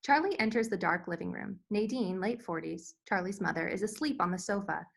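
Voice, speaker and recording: A woman's voice: medium pitch, animated, fairly quick, creaky, husky. A woman reading screenplay stage directions as scripted narration for a table read.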